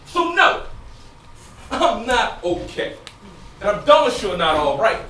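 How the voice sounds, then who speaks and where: A man's voice: high voice, very animated, slow, heavily acted. Echoey, anguished husband played by a man onstage. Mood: frustrated, confused. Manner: emotional, swinging from quiet confiding to loud outbursts. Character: anguished, frustrated husband struggling with infertility. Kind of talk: theatrical stage monologue. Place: stage in a large indoor room. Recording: echoey stage, distant mic, noisy.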